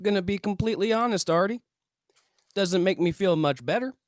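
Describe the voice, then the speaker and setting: A man's voice: high voice, animated, fairly quick, dry recording. Man playing a dejected, self-pitying stoner dude, dry sound. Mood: sheepish, glum. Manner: self-pitying slacker grumbling. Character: dejected stoner man, veteran UFO hunter. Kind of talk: comedy script table read.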